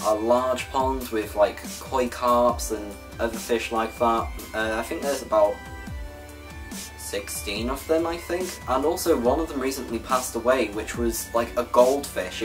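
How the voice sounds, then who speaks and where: A young Welsh man in his late teens talks casually to his channel's viewers. He rambles and backtracks, filling gaps with "like" and "um", at an ordinary middle pitch. Quiet music plays faintly behind him. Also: unhurried. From Wales. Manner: rambling, chatty, self-correcting with many fillers. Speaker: gaming YouTuber. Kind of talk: casual vlog talk to viewers.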